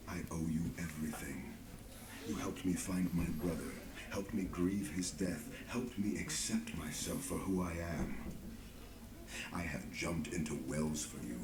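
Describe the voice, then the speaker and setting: An actor playing a loyal, heartfelt soldier companion in a video game drama, speaking solemnly and earnestly. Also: male voice, deep voice, reverberant, poor audio.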